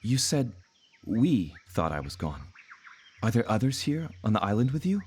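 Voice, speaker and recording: A man's voice: medium pitch, big pitch swings, normal speed, a little mellow, breathy edge. An actor playing an earnest seafaring adventurer who tells his tale in formal, old-fashioned language. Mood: questioning. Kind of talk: scripted storybook narration.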